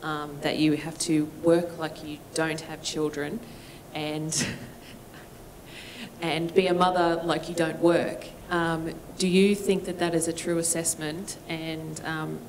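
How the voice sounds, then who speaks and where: A woman's voice: deep voice, flat delivery, slow, slight background noise. A woman in the audience of a conference hall asking a question, hesitant and full of fillers.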